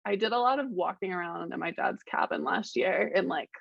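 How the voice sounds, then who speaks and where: A soft-spoken woman, a musician guest in a casual interview, talking hesitantly with many fillers and restarts. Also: medium pitch, fairly quick, creaky, dry recording, muffled recording. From Richmond, Virginia, United States.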